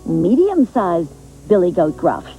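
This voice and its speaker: A woman's voice: fairly low pitch, big pitch swings, slow, mellow, faint music behind, poor audio. A woman voicing a tiny, scrawny young billy goat who pleads fearfully. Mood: pleading.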